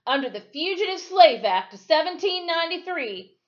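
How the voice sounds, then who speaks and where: A woman voicing a blustering armed male deputy in a storybook, declaiming orders loudly and emphatically. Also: very high-pitched, very animated, moderate pace, voice pushed up. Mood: shouting, insistent. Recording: dull, lo-fi sound.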